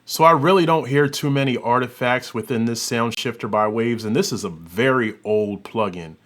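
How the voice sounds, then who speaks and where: A man hosting an audio software tutorial, giving an informal, unscripted demonstration and thinking aloud as he works. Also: medium pitch, moderate pace, soft background music. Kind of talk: informal demonstration and review commentary.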